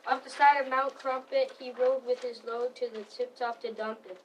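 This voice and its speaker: Schoolgirl story reader, high-pitched, recorded at a distance over faint room noise. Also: steady tone, moderate pace. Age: child, about 11 to 12. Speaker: student narrator. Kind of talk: readers theater story narration.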